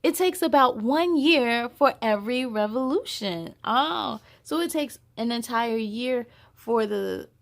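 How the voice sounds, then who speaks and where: A woman with a high voice hosts a story time for children. She reads slowly, in an expressive, sing-song way. Between passages she chats directly to her young listeners. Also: vocal fry. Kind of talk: animated children's read-aloud with chatty asides.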